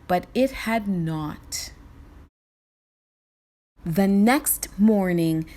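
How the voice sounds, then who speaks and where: A woman reading a children's story aloud in expressive scripted narration. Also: on the high side.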